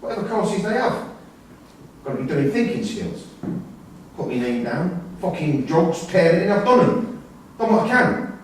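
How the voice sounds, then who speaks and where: A man's voice: high-pitched, sing-song, moderate pace, pauses often. A man playing a middle-aged imprisoned father in a staged play reading, defensive and controlling, blunt and sweary in his talk. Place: indoor performance venue, recorded from the audience's distance. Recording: echoey hall, distant mic, faint hiss.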